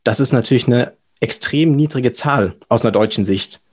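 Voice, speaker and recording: A man's voice: mid-range voice, normal speed, slightly rough. A young adult man with a German accent, talking casually and explaining things as a podcast guest. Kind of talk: casual explanatory interview talk.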